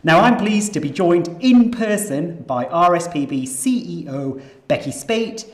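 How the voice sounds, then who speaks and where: A Yorkshire-accented host runs through event housekeeping in a steady mid-pitched voice. The delivery is scripted but dotted with light self-deprecating jokes. The voice carries a noticeably roomy, echoing sound. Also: unhurried. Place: nature reserve headquarters.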